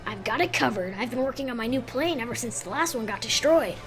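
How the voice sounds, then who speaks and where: Young fox boy inventor played by a woman: high, creaky-edged, earnest, audio drama, music underneath. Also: lively intonation, quick pace. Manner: earnest, youthful.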